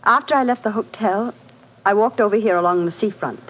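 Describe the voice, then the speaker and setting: An actress playing a poised young wealthy widow in a 1940s radio drama, polite and restrained. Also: female voice, medium pitch, a little fast, a bit bright, lightly acted. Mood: restrained, distressed. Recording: noisy muffled vintage radio broadcast.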